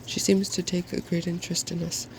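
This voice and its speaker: A man voicing a melancholy, uneasy hippogriff in a hushed, soft-spoken ASMR storybook reading. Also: high-pitched, flat delivery, a little fast, a little hiss or hum, poor audio. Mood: awed. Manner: gloomy, hushed.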